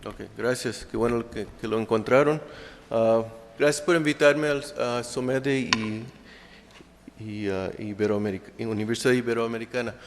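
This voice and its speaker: A man of middle pitch gives a conference presentation in a second language. He hesitates often and fills pauses with drawn-out sounds, restarting his sentences. His voice carries the long reverb of a lecture hall. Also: steady tone, a little hiss or hum. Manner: hesitant, many fillers and restarts. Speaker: conference speaker. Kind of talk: academic presentation.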